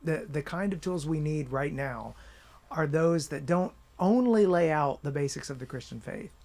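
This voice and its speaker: A man talking about his work as an author and editor in a casual interview, animated, with many restarts. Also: fairly high pitch, normal speed, creaky. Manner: animated storyteller, many restarts and "you know".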